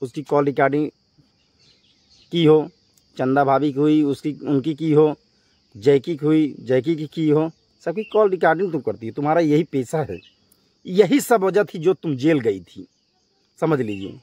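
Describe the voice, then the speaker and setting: A man's voice: a little high, expressive, measured pace, long pauses, mellow, dry recording, lo-fi sound. A man speaking as a vlogger in a confrontational rant to his viewers, scolding and emphatic, often repeating himself. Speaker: YouTube vlogger addressing viewers.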